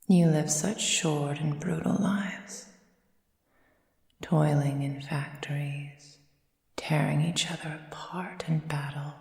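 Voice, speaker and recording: Woman as a slow, silky, low-voiced vampire mistress. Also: dark tone. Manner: silky, measured, formal, often dropping into breathy whispers. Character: possessive, condescending female vampire mistress tending her captive thrall. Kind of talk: slow, intimate ASMR roleplay. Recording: echoey room with long reverb.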